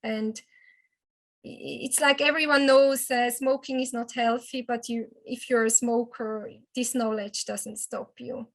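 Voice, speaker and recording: A woman's voice: on the high side, steady tone, unhurried. A woman from Switzerland, a native Swiss German speaker with a Swiss German accent, speaking earnestly as a health and wellness coach and often restarting her sentences. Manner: earnest, with frequent restarts and hesitations. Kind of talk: personal testimonial.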